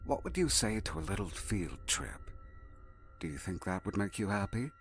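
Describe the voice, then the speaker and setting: A man playing a soft-spoken, otherworldly headmaster in an audio drama, courteous and coaxing in a breathy half-whisper. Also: deep voice, very animated, faint music behind. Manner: formal, gentle, deliberate.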